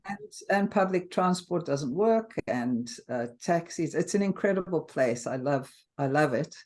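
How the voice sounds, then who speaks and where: Older South African woman, halting and earnest. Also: low voice, lively intonation, darkish tone. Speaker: interview guest. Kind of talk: casual interview talk.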